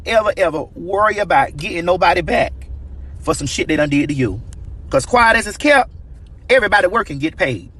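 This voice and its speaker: An American man with a very high, sharp voice that swings wildly in pitch. He delivers a sassy, profane comic monologue in emphatic slang. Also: husky. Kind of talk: profane comedic monologue to camera.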